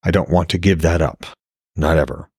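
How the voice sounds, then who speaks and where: A man's voice: very deep voice, moderate pace, husky, no echo, clean studio sound, exaggerated voice. A man voicing a devoted, troubled warrior in a fantasy audiobook, speaking in a subdued, earnest way. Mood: pleading. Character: devoted blind warrior god, long-lived, troubled lover. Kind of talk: fantasy audiobook character dialogue.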